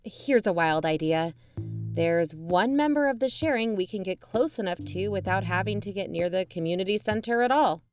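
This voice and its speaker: Audio drama role: guarded, practical female investigator, played by an actress, medium pitch, naturalistic delivery, music bed. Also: expressive, normal speed, natural voice. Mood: determined. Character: pragmatic, guarded woman, an amateur investigator.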